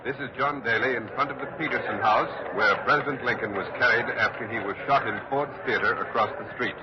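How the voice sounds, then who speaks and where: A man playing a grave field reporter in a 1940s radio drama, speaking in a somber, measured way. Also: medium pitch, very noisy, close to an everyday voice. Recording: muffled 1940s radio recording, crowd behind.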